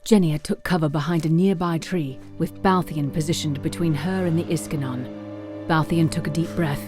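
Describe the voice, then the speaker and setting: A woman's voice: low voice, fairly even intonation, normal speed, music in the background. A woman narrating a fantasy audio drama as a dramatic scripted storyteller.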